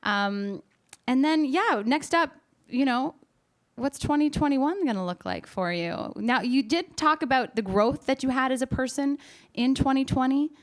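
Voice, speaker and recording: A woman's voice: high-pitched, lively intonation, measured pace. A woman hosting a casual interview, speaking in an encouraging, affirming way. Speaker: interviewer and show host.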